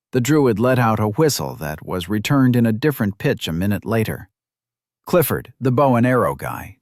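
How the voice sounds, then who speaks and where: Male narrator for a fantasy audiobook, low and measured, dry booth sound. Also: no echo. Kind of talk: scripted narration.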